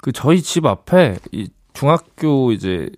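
A man with a Gyeongsang accent, a radio guest chatting casually, easygoing and modest and quick to laugh. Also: medium pitch, big pitch swings, a little mellow, dry recording, studio quality. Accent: Gyeongsang dialect.

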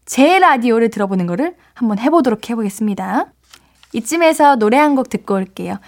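Bubbly high-voiced woman radio DJ. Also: expressive, a little fast. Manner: bubbly, gasps and exclaims often. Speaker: radio DJ host. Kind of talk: late-night radio chat, reading listener letters. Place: radio studio. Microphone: studio microphone.

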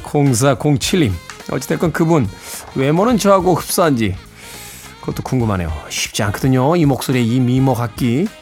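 A man's voice: mid-range voice, lively intonation, moderate pace. A middle-aged man hosting a music radio show, mixing song introductions with casual anecdotes in a wry, self-teasing, conversational manner. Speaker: radio host and DJ.

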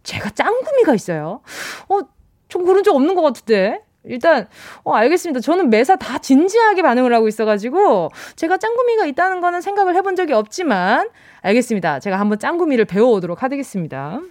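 Lively, high-voiced woman radio DJ. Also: quick pace, no room sound, clean studio sound. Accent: Busan dialect. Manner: chatty, playful, laughs often, reads messages aloud. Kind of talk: live radio show hosting, reading listener messages. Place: radio studio. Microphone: studio microphone.

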